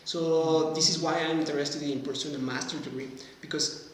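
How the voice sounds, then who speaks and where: Halting Mexican man in an echoey hall. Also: a little high, fairly even intonation. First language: Spanish. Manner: halting, searching for words in non-native English. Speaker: podcast guest, medical graduate. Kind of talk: casual interview answers. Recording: very echoey hall, faint background noise.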